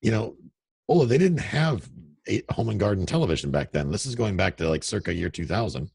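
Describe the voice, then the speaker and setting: A middle-aged man with a very deep, gravelly radio voice, telling candid, self-deprecating stories. His tone is husky, and the sound is close and dry with no room around it. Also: sing-song, brisk, darkish tone, no room sound. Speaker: real estate investor guest. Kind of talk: casual interview over a call. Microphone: remote call audio.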